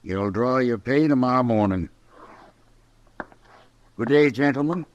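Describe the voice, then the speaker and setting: An actor playing a hard, self-righteous American cattle rancher, stern and blunt, in an old-time radio western. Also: male voice, mid-range voice, unhurried, close to an everyday voice. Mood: cold, dismissive. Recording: dull old radio recording.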